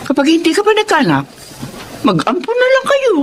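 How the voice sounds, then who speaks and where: Long-suffering wife with a sharp tongue, swooping intonation, radio drama, light noise behind. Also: female voice, very high voice, wildly swooping pitch, fairly quick, exaggerated voice. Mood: blunt, confiding. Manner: scolding, sarcastic, blunt. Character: sharp-tongued, fed-up wife and mother of three. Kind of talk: Filipino radio drama acting.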